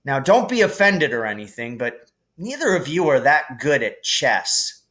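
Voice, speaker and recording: A man voicing a frank, thoughtful boy strategist who explains and gives instructions deliberately in a storybook reading. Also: medium pitch, sing-song, a bit bright. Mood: frank, instructing.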